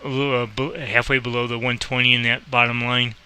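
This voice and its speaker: A man's voice: mid-range voice, little pitch movement, brisk, pushed and bright, clean tone. A man giving a casual, rambling game tutorial, thinking aloud as he explains and making air traffic controller calls.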